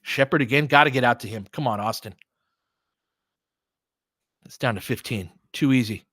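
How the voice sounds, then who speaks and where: A man's voice: medium pitch, moderate pace, bright, forceful tone, no echo, studio quality. A man giving casual live basketball play-by-play, chatting conversationally as a partisan fan in short, clipped phrases. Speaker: basketball play-by-play host and commentator.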